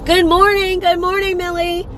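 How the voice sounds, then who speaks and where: A woman hosting a casual morning livestream, chatty and upbeat, her voice slightly raspy from a cold. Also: extremely high pitch, flat delivery, moderate pace, bright, forceful tone, a little hiss or hum. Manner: upbeat, chatty, greets viewers by name. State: fighting off a cold. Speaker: livestream host.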